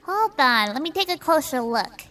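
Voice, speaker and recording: A woman playing a tabletop role-playing game in character, with an excitable, slangy delivery. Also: high voice, moderate pace. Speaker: player.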